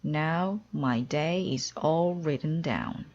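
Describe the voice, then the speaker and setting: An anxious, stubborn toad from a children's storybook, played by a woman. He speaks very slowly and plaintively, with emphatic delivery and a pitch lifted above the reader's usual. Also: darkish tone, highs cut off, slight background noise. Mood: matter-of-fact. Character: anxious, stubborn male toad, easily upset.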